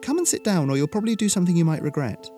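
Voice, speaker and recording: A man voicing a teenage girl in a story, a protective and calmly firm friend. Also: sits high, rapid-fire, soft background music, pitched above the performer's own voice. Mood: calm, insistent.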